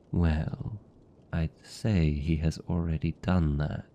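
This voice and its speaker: A man's voice: low voice, warm tone, muffled recording, a little hiss or hum, heavily acted. A British man playing a seductive, possessive vampire captor in an intimate fantasy ASMR roleplay, teasing and condescending in manner. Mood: smug, possessive. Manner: slow, teasing, condescending, velvety menace.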